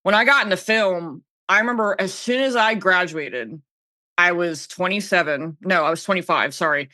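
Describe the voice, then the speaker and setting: A woman's voice: lowish voice, moderate pace, pushed and bright, dry recording, studio quality. A woman podcast guest telling personal stories in a casual, informal way, often quoting herself as she goes. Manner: informal, self-quoting storytelling. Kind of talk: casual chat, personal anecdotes.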